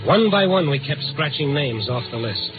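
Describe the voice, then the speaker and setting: A man playing a brisk, world-weary newspaper reporter in a 1950s radio drama, with clipped, urgent delivery. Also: on the high side, animated, natural voice. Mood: tense, weary. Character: brisk, world-weary male newspaper reporter narrating his night beat. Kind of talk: 1950s radio drama acting, hard-boiled narration. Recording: lo-fi 1950s radio broadcast, loud music.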